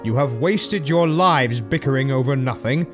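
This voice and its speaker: Man playing a scornful old father, slow contemptuous delivery, animated pitch, loud music behind. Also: natural voice. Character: dying old father, scornful of his two sons. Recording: voice over loud background music.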